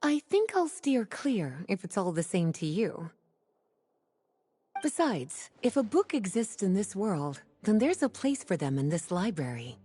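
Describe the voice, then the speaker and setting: Casting: coy, languid female librarian, anime game, mellow tone, lively intonation. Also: mid-range voice, a little slow, slight background noise. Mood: coy, knowing. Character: sultry, teasing, worldly adult female librarian.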